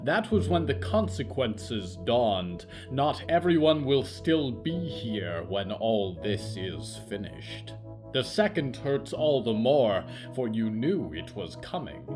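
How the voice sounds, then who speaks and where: Male narrator, eerie fiction: measured, ominous, dramatic delivery with very animated intonation. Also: medium pitch, over music. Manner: theatrical, deliberate, ominous. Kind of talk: scripted horror fiction narration.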